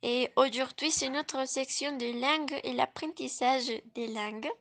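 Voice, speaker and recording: A woman hosting a scripted interview, reading her questions slowly. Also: high-pitched, steady tone, a bit bright. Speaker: host.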